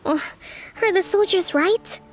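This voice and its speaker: A woman playing a calm, thoughtful young female military strategist in a video game, speaking in an articulate, deliberate way. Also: very high voice. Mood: calm, pensive. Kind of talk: scripted game dialogue acting. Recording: game music behind the voice.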